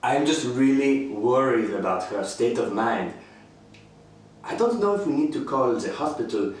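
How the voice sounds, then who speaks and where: A man's voice: medium pitch, close to an everyday voice. Man acting smug manipulative houseguest, very roomy. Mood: falsely concerned. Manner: measured, falsely reasonable, condescending. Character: smug, manipulative male houseguest who refuses to leave. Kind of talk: radio thriller acting. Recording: roomy, distant, faint background noise.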